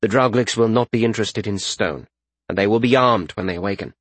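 A man voicing a grave, pragmatic male warrior leader in a fantasy audiobook, measured and matter-of-fact. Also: on the low side, fairly bright tone, no echo. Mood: stern.

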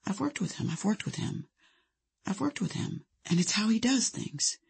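Lady doing a calm wise actress friend in an audiobook, very breathy and hushed, gentle, measured. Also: fairly low pitch, exaggerated voice. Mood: calm, soothing. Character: calm, soothing, wise woman movie-star colleague.

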